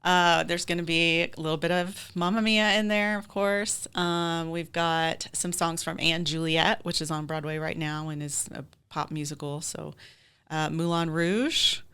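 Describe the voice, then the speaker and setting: Low-pitched, crisp-voiced American woman. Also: fairly even intonation, unhurried, fairly bright tone. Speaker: guest, theater director. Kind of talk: casual interview chat.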